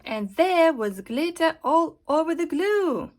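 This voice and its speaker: A woman with a high-pitched voice reads a picture book aloud for children. Her scripted narration is expressive and deliberate, shaped for young listeners. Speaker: storybook reader and host.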